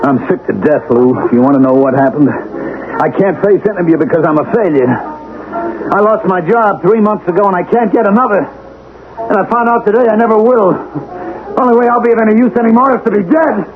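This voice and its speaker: A man's voice: sits high, a little fast, music in the background, close to an everyday voice. An actor playing a despairing, self-pitying jobless executive in his late forties, in emotional 1970s radio drama style with first-person narration. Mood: anguished. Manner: emotional, bitter, world-weary. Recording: noisy, tinny vintage radio broadcast.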